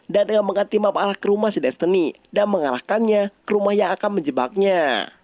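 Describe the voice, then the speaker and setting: A man's voice: high-pitched, fairly quick, darkish tone, no echo. A man with an Indonesian accent narrating a story recap in a casual, colloquial storytelling style.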